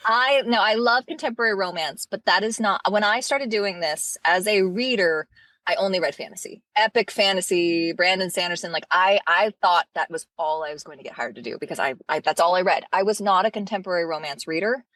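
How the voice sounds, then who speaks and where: A woman who works as an audiobook narrator, chatting in an interview with a low, smoky voice and lively, quick asides. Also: no echo. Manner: animated, laughs often, fast asides. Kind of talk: casual interview chat.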